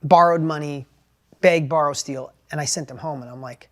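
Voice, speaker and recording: A middle-aged man with a New York accent and a high-pitched voice, giving an animated, plainspoken motivational talk about sales. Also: moderate pace. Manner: animated, plainspoken, repeats and restarts words. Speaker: entrepreneur and sales educator. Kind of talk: motivational talk with personal story.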